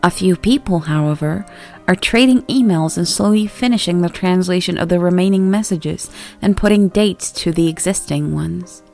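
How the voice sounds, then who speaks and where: A woman with a fairly low voice narrates a creepypasta from a script. Her reading is measured and deliberate. Faint music plays behind her. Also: gravelly, a little mellow. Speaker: horror story narrator.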